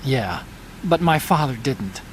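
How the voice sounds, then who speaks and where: A man's voice: medium pitch, expressive, slow pace, a little hiss or hum, poor audio. A man voicing an earnest, brainy and troubled tech expert in a dramatic video game, explaining things carefully. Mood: regretful, confessional. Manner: earnest, explanatory, hesitant on personal matters. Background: music.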